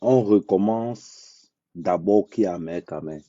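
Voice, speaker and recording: Slow, dark-voiced blunt man. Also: deep voice, no room sound. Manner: blunt, repeats phrases for emphasis, slow deliberate delivery. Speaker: social media commentator. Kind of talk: unscripted live monologue. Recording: dull lo-fi dry sound.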